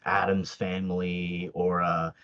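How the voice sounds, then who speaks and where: A man's voice: sits low, level tone, slow, highs cut off. A man whose first language is Tagalog, chatting casually as a podcast guest, with lots of fillers and restarts. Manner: hesitant, many fillers and restarts, laughs often. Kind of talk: casual interview chat.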